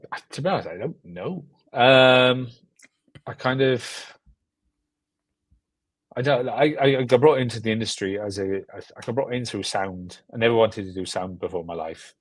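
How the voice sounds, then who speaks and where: A young man with a British accent talks casually in an interview over a video call. His voice sits fairly low, and he rambles and repeats himself in a self-deprecating way. The sound is dry, with little room on it. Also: expressive, moderate pace, no room sound. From Britain. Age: young adult. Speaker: guest filmmaker.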